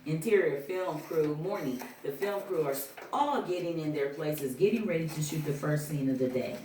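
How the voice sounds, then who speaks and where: A woman's voice: deep voice, moderate pace, warm tone, a little hiss or hum. A woman reading out scene headings and stage directions as scripted narration at a film table read. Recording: roomy, distant mic.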